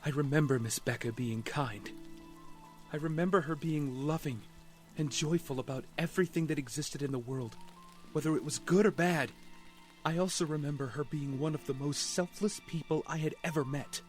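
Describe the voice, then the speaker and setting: An actor playing a grieving, principled young vigilante hero in an audio drama, earnest and impassioned. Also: male voice, on the high side, normal speed, faint music behind, natural voice. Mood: earnest, reproachful.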